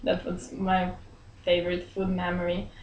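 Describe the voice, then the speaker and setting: Woman, 30s, from Belarus, friendly, laughs often, pauses for words, small office, webcam, roomy echo. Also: on the low side, monotone, darkish tone, dull audio. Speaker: interviewee. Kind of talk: casual interview chat. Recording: roomy echo, faint room hum.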